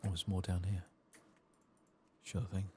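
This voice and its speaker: A soft-spoken, hushed man giving unhurried, understated ASMR commentary over a video game. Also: low voice, level tone. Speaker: host of a gaming playthrough channel. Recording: faint background noise.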